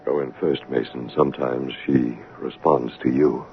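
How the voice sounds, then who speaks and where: An actor playing an anguished, brooding English gentleman with a dark secret in a classic radio drama, husky, pleading and impassioned. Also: rumbling low, steady tone, moderate pace, a little hiss or hum. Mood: bitter. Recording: narrow, tinny old radio broadcast.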